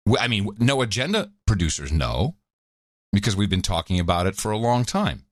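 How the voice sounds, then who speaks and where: A man co-hosting a podcast in casual chat, wry and animated, laughing often. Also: on the low side, a little fast, no room sound, clean studio sound. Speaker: podcast co-host.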